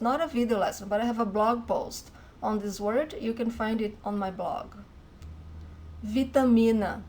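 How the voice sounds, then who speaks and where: A woman with a Brazilian accent runs a Portuguese lesson at a slow, deliberate pace. Her voice sits somewhat high, and she says each word carefully and then repeats it. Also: a little mellow. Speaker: Portuguese teacher.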